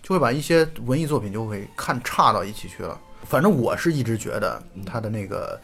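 A man, a podcast co-host, chatting casually and thoughtfully, with animated, reflective storytelling. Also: mid-range voice, fairly quick, husky, soft background music. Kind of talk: casual chat.

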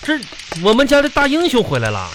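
A man's voice: extremely high pitch, wildly swooping pitch, a little fast, background noise, exaggerated voice. A man playing a fussy, exasperated but doting father with a Northeastern Chinese accent, stammering and nagging in a comedy radio skit. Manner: stammering repetitions, nagging rhetorical questions. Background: music.

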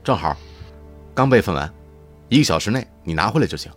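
A man's voice: lowish voice, expressive, brisk, soft background music. An actor playing a polite, obliging male technician in a Chinese science-fiction radio drama. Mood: friendly, obliging.

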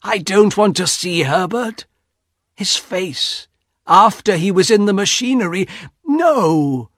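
A weary old man from a storybook, as a narrator puts him on, with a voice that moves widely in pitch. He is fearful and sorrowful, protesting with anxious, drawn-out emphasis. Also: wildly swooping pitch, sharp tone, husky, no echo, studio quality, heavily acted. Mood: fearful, reluctant. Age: older. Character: tired, fearful old husband, sorrowful and alarmed. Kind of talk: audiobook character acting.

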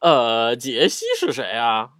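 A woman's voice: rumbling low, slow pace. A woman voicing a naive, curious, puzzled young rabbit in a children's audio drama, asking things in a hesitant, wondering way. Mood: innocent curiosity. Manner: wondering, hesitant questions, innocent. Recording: music behind the voice.

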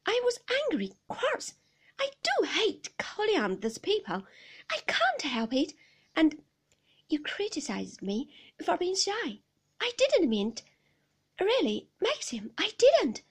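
A woman's voice: sits high, sing-song, darkish tone, voice pushed up. A woman doing an anxious shy young wife. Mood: frightened pleading. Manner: pleading, emotional, quick to protest.

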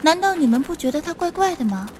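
Very high, sharp-tongued girl. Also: big pitch swings, quick pace, noisy background. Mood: suspicious. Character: sharp-tongued, proud young female companion. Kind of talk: Chinese audio drama acting.

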